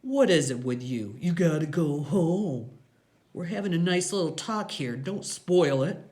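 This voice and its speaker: Big menacing biker boss, acted by a woman reader, low-voiced, curt, slangy, taunting, audio novel. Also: lively intonation, a little slow, mellow. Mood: irritated. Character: big-bellied, menacing, tattooed male gang boss, mocking.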